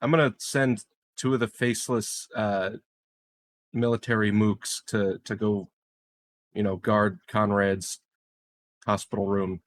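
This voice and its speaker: A man with a slightly low voice talks as a player in a tabletop roleplaying session. His delivery is casual and conversational. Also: steady tone, unhurried, pauses often. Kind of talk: casual game chat.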